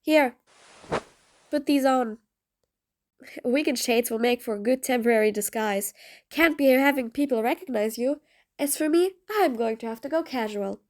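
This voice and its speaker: Female supervillain for audio roleplay, played by a woman: high voice, sarcastic, mean yet patient, grudgingly soft. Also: normal speed, pauses often, close to an everyday voice. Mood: grudging, teasing. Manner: sarcastic, dry, exasperated. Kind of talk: audio roleplay acting addressed to the listener.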